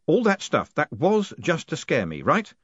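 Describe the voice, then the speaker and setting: A man's voice: on the high side, huge pitch swings, measured pace, a bit bright, husky, no room sound, muffled recording, pitched above the performer's own voice, exaggerated voice. A man voicing a wary, exasperated male initiate in an audiobook, protesting hesitantly and sardonically. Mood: nervous, protesting.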